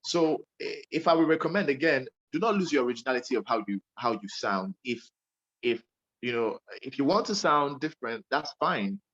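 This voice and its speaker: A man's voice: mid-range voice, animated, normal speed, fairly bright tone, highs cut off. A young man with a Nigerian accent, a radio presenter giving earnest, emphatic advice to a young interviewer and often repeating himself.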